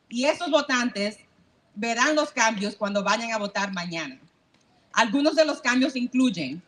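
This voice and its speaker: A woman lawyer giving a formal, measured press statement at a rally. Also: fairly high pitch, a bit bright, poor audio. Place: outdoor rally.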